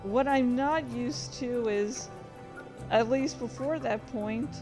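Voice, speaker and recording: A woman's voice: on the high side, takes it slowly, over music, poor audio. A woman telling gaming stories casually as a host, in a rambling, informal, sweary way. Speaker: host of a reading and gaming channel. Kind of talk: casual storytelling about gaming.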